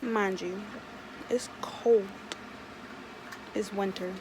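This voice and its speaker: A woman's voice: mid-range voice, steady tone, extremely slow, background noise. A chatty young woman from Maryland with a light Baltimore accent, rambling sassily through a casual storytime. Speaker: vlogger.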